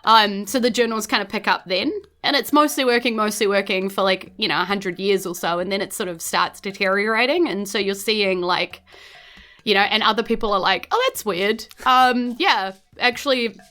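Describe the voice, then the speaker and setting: Bright-voiced New Zealand woman running a game. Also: medium pitch, normal speed. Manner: informal, conversational, hedging with "like" and "you know". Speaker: game master. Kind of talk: improvised narration of a tabletop game.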